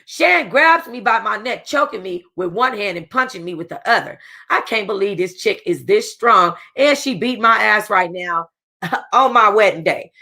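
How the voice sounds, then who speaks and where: A woman reading a first-person novel aloud for a book club, casual and expressive, with a streetwise tone. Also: mid-range voice, moderate pace.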